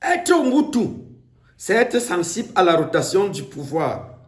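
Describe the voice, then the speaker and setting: A man with a Cameroonian accent giving an emphatic, declamatory political speech, with deliberate pauses. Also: high-pitched, sing-song. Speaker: political speaker. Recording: echoey room.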